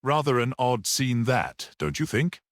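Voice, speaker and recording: A curious, impulsive gentleman of a detective audiobook , acted by a male narrator. He is probing and conversational, with lively intonation and a very dry sound. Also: medium pitch, measured pace, no room sound. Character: impulsive, curious, suspicious male companion of a priest.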